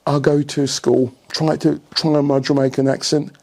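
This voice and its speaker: A man's voice: fairly high pitch, moderate pace, vocal fry. An older man, telling stories in an interview as a senior coach, often posing rhetorical questions and checking that the listener follows. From England. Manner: animated anecdotes with rhetorical questions and repeated checks for agreement. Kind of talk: storytelling in an interview.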